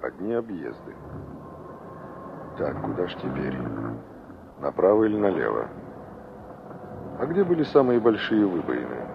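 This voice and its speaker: An actor playing a wistful young engineer in an old Soviet radio play, musing to himself and polite with strangers. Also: male voice, low-pitched, big pitch swings, measured pace, gravelly, long pauses, full character voice. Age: young adult. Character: young male engineer revisiting a remembered village, wistful. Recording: noisy, dull old radio play recording.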